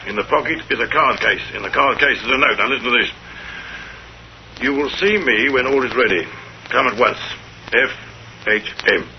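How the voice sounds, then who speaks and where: An actor playing a stubborn, self-assured police detective, blunt and emphatic, in 1930s radio drama style. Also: male voice, mid-range voice, lightly acted. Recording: muffled, noisy 1930s radio recording.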